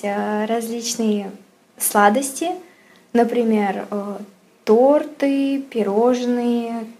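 A woman's voice: on the high side, dark tone. A woman in her twenties introducing herself in a hesitant way, with many filler sounds. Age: 20s. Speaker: young teacher introducing herself. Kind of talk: spoken self-introduction.

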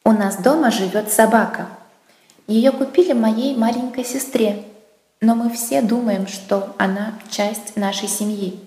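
A woman reading a short first-person story in a calm, plain way. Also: a little high, a little slow. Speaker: exam listening text speaker. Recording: echoey, reverberant room.

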